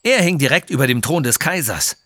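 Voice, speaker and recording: A man's voice: mid-range voice, lively intonation, a little fast, fairly bright tone, dry recording. A man voicing a greedy, boastful villainous lord who is pompous and menacing in a children's adventure story. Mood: gloating.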